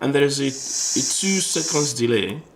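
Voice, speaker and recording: A man's voice: medium pitch, expressive, measured pace, heavy background noise. A man narrating an informal hands-on tutorial in a conversational, explanatory way, often rephrasing as he goes. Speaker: electronics tutorial presenter.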